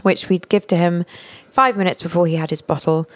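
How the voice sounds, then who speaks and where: A woman's voice: on the low side, steady tone, a little fast. A woman telling a candid, self-mocking story in casual chat.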